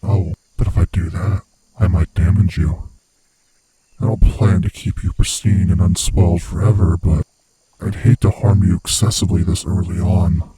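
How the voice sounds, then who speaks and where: A man voicing a sinister, gloating monster who hunts human prey, with a husky, rasping voice and a slow, taunting manner, in a horror ASMR roleplay. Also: fairly even intonation, mellow, no echo. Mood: smug, possessive. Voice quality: husky, breathy, rasping low voice. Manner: slow, menacing, theatrically taunting.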